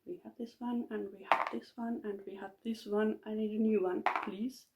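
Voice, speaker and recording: A woman's voice: medium pitch, fairly even intonation, moderate pace, heavy background noise. A woman with a Finnish accent, a native Finnish speaker, talking casually off the cuff as a polyglot vlogger, hesitant and searching for words as she goes.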